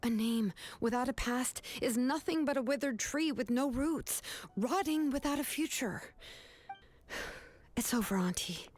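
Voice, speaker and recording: A woman's voice: sits high, expressive, unhurried. An actress voicing a cool, capable young woman in a video game, composed, matter-of-fact and protective. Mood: grave, reproachful. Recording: game music underneath.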